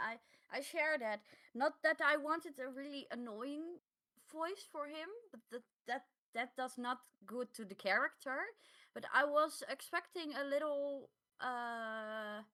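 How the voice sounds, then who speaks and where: Female podcast co-host, voice a bit high, casual halting delivery with repeated words. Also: sharp tone. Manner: halting, repeats words, non-native English phrasing. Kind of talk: casual chat.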